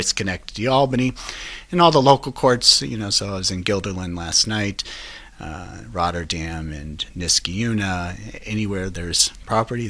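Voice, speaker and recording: Low, bright-voiced man explaining law. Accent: New York. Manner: measured, explanatory legal talk. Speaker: eviction attorney guest. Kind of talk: podcast interview.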